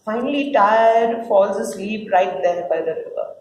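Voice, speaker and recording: A woman's voice: medium pitch, measured pace, darkish tone. A woman reading out scripted stage directions as the narrator of a play. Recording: roomy echo with faint noise.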